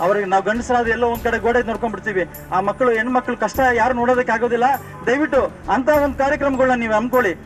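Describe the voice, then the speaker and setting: A man with a Karnataka accent making a pleading, haltingly phrased appeal as a member of the public. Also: very high-pitched, fairly even intonation, faint music behind, rough recording.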